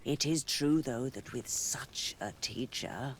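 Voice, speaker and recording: A man playing a shrewd, sardonic political rival in a video game, speaking in a deliberate, knowing way. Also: mid-range voice, slight background noise, close to an everyday voice. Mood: conceding. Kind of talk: video game cutscene acting.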